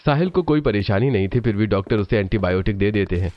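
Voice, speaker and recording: A man's voice: lowish voice, expressive, quick pace, no echo, lo-fi sound. A man reading scripted narration for a cartoon family story.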